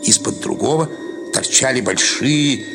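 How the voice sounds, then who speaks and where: A man's voice: medium pitch, a little slow, gravelly, heavy background noise. A man giving a dramatic literary reading as the narrator of a story, with music behind him. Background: loud music.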